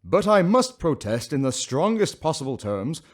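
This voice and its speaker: An actor playing a pompous, easily offended professor who speaks in an indignant tone. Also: male voice, a little high, very animated, moderate pace.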